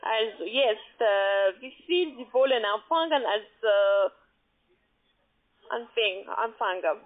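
A woman with a foreign accent, not a native speaker, giving a phone sales pitch as a call centre agent in halting, broken phrasing. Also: lively intonation. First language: not German. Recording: muffled tinny phone line.

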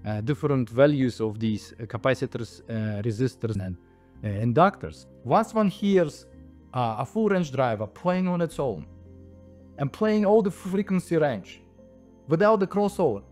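Man explaining haltingly over music. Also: medium pitch, animated, a little mellow. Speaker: audio equipment designer. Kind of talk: explaining products to camera, semi-scripted talk. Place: indoor audio showroom. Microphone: lapel mic. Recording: music playing behind the voice.

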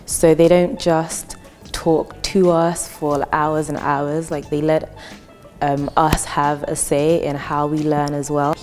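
Low, mellow-voiced young woman. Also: level tone, slow pace. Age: 20s. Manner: casual, chatty with fillers. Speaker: student. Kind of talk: testimonial interview. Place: indoor hall with wooden wall. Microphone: lapel. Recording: reverberant hall with light music.

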